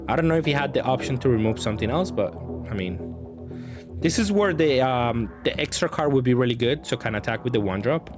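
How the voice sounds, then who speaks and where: A man's voice: medium pitch, expressive, fairly quick, over music. A man giving casual, off-the-cuff commentary on his own card game matches, thinking aloud and faulting his own plays.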